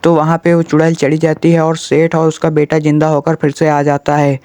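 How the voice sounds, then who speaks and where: A man's voice: on the high side, monotone, normal speed, a little mellow, smooth, dry recording. A man narrating a cartoon story in a scripted storytelling style.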